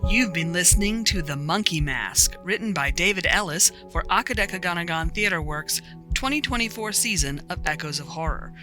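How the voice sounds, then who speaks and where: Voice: mid-range voice, animated, normal speed. An announcer reading the scripted closing credits of an audio drama. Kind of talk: scripted narration. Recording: music under the voice. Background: music.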